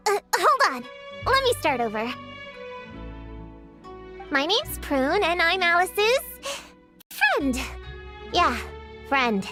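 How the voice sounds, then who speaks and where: A woman's voice: very high-pitched, very animated, takes it slowly, long pauses, pushed and bright, faint music behind, heavily acted. A woman voicing a defensive, self-important young girl witch hunter in a video game, using formal words with an indignant, bluffing manner. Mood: awkward, hesitant. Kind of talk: animated video game voice acting.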